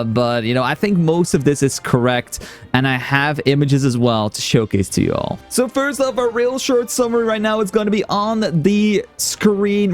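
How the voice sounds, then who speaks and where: A man giving a casual, excitable summary of gaming news as a streamer. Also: a little high. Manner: excitable, talkative, hyped about announcements.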